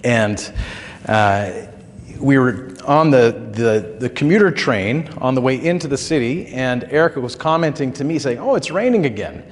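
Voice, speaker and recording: Male pastor, mid-pitched, slightly hoarse, conversational storytelling sermon, long church reverb. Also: animated, moderate pace. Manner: conversational, folksy storytelling with filler words. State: recovering throat, slightly hoarse.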